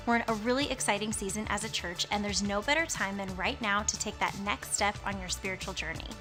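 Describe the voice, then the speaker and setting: A woman reading scripted church announcements in a smooth, upbeat, even way. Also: medium pitch, a little fast, over music.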